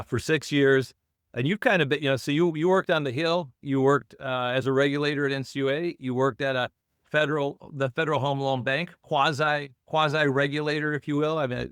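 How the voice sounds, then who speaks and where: Brief: male host in his 60s, smooth resonant mid-range voice, chatty with restarts. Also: mid-range voice, a bit bright, dry recording, clean studio sound. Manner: conversational, frequent restarts and fillers. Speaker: podcast host. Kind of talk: interview conversation.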